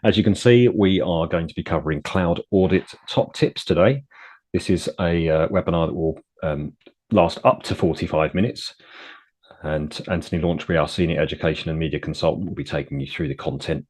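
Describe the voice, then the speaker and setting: A British man opening a software webinar and running through housekeeping in a friendly, clear way with some fillers. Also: sits low, brisk. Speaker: webinar host and technician.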